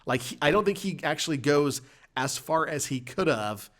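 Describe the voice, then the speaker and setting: Man casually talking movies, halting and rambling. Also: a little high, animated, a bit bright. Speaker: podcast host. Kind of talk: casual film discussion, recapping and reviewing scenes.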